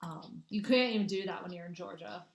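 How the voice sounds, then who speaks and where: A woman's voice: fairly low pitch, fairly quick. A young woman from the American Midwest hosting a casual livestream, chatting with viewers in a rambling way with lots of restarts. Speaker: livestream host doing spiritual readings. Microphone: phone or webcam. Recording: echoey room.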